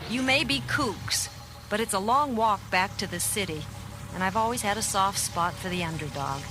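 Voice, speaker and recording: A woman playing a feisty, wary, blunt whale scientist with a soft heart in a children's read-along storybook recording. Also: medium pitch, moderate pace, natural voice. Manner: blunt, plain-spoken. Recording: voice over loud background music.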